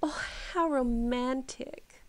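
An actress playing a nosy, cheeky female servant in a period audio drama, with impish, drawn-out delivery. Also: sits high, darkish tone. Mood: cheeky, eager.

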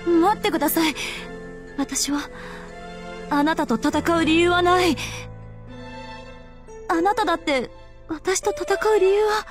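An actress playing a serious, formal young mage fighter in a visual novel game, with clipped, emphatic phrasing. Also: female voice, very high-pitched, steady tone, slow pace, long pauses, over music. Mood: desperate, pleading.

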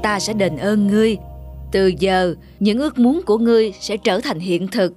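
A woman voicing a solemn old male god in a cartoon fairy tale, speaking in a measured, admonishing way. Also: mid-range voice, a little mellow, lightly acted. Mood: solemn, grateful. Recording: music behind the voice.

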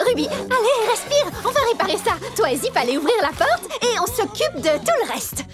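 Very high, bouncy young pony. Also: female voice, a little fast. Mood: reassuring. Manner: expressive, reassuring, excitable. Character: earnest, helpful young female pony, eager optimist. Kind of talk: French-dubbed cartoon acting. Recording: music behind the voice.

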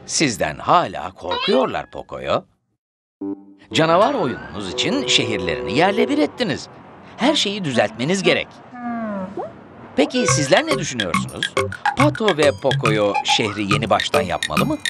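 A man playing an excitable, caring cartoon narrator who talks expressively to small children. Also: mid-range voice, normal speed. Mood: gently concerned. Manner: addresses child viewers, exclamatory, expressive. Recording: music behind the voice. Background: background music.

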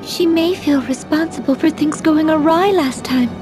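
An actress voicing an earnest, gentle, dutiful young woman in a video game, with formal, proper diction. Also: high-pitched, moderate pace, music in the background, lightly acted. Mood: concerned.

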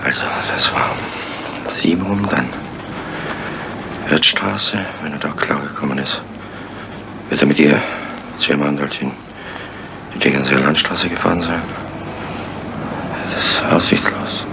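An actor playing a surly, coarse, fatalistic man on the run in a 1970s crime radio drama, with a gravelly voice. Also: a little slow, pauses often, background noise, exaggerated voice. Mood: weary, grim. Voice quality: very deep, gravelly.

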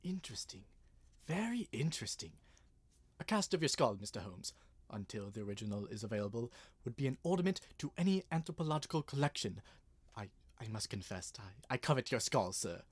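Young man as eccentric scientific enthusiast, halting, husky, dry sound, old radio play. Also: medium pitch, sing-song, no room sound. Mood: fascinated. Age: young adult. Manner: fussy, halting, eager. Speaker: student actor. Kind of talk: 1930s-style radio drama acting.

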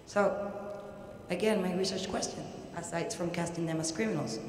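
Puerto rican woman giving a conference talk, halting and emphatic, big hall reverb, some noise. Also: lowish voice. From Puerto Rico. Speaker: researcher presenting at an academic conference. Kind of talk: academic lecture. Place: large indoor university hall, at a podium. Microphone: podium microphone. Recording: very echoey hall, audible background noise.

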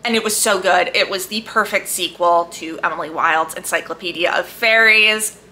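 A woman book vlogger chatting casually and enthusiastically to camera, leaning hard on emphatic, drawn-out words. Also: on the low side, sharp tone, slight background noise. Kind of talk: casual chat to camera about books.

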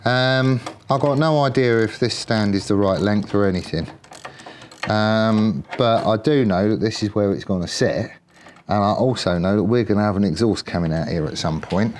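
Low-pitched English man thinking out loud. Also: normal speed, a little hiss or hum. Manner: thinks out loud, chatty and colloquial. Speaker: motorcycle builder presenting a workshop project. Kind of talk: casual off-the-cuff workshop commentary.

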